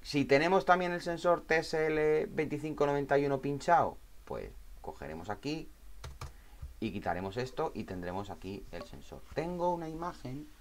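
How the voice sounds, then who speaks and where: A man's voice: fairly high pitch, expressive, moderate pace. A man with an accent from Spain giving an informal, step-by-step technical explanation as an instructor, repeating phrases and often checking in with short tags. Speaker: tutorial instructor.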